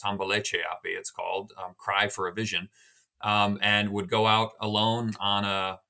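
American man, 30s, author guest, bright tone, lowish voice, relaxed and explanatory. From United States. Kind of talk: casual podcast conversation.

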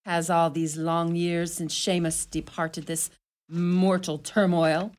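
Woman as blunt, low-voiced Irish widow. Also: little pitch movement, takes it slowly, a little mellow. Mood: sardonic. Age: 60s. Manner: blunt, sardonic. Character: tiny blunt sharp-tongued widow in her sixties.

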